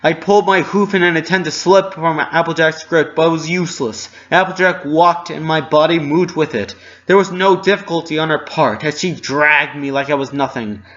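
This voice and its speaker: A man narrating a first-person fan fiction story with an expressive, dramatic delivery. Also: fairly high pitch, moderate pace, hardly any pauses, lo-fi sound.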